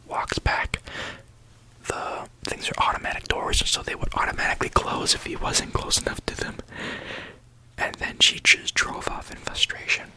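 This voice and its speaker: A tired young adult YouTuber telling a story in a soft unvoiced whisper, rambling with many likes and ums. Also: low-quality recording.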